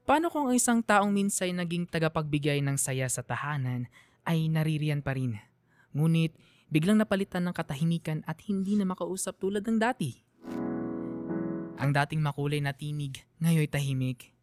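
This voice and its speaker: A woman with a low-pitched voice narrates a sentimental radio story from a script. Piano music plays clearly beneath her. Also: animated, moderate pace, darkish tone. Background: piano music under the voice.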